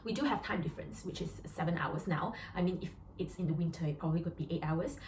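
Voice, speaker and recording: A woman's voice: on the low side, fast talker, darkish tone. A young woman with a Malaysian accent, a doctor talking earnestly in a casual vlog, with many fillers and restarts. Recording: echoey room with faint noise.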